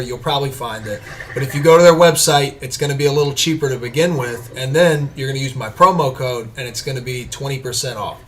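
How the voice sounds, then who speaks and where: Excited guy hosting a podcast, a bit high-voiced, trails off quiet at the ends. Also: a little hiss or hum. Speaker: podcast host. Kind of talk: casual chat and ad reads.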